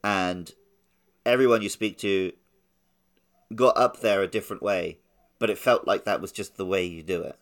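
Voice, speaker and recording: A man's voice: low-pitched, fairly even intonation, moderate pace, pauses often, a bit bright. A man co-hosting a podcast, talking casually about a video game. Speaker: podcast co-host.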